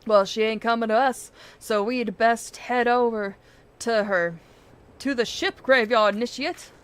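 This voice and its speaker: A woman's voice: fairly high pitch, measured pace, slight background noise, lightly acted. A woman voicing a superstitious old sailor man in dramatic, piratical dialect, reading out game dialogue. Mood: brisk, grumbling.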